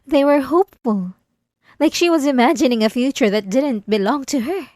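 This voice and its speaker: A woman playing an obsessive, possessive, delusional wife in an intimate ASMR roleplay, coaxing and wounded, with sighing pauses. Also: high voice, mellow, dry recording, clean studio sound, natural voice. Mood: defensive, jealous.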